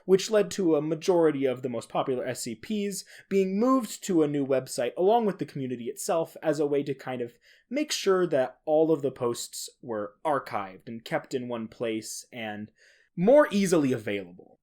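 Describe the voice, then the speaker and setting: Lively, expressive man podcasting casually. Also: on the high side, normal speed. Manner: informal, conversational, with occasional swearing. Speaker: podcast host. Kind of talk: casual solo explainer on horror fiction.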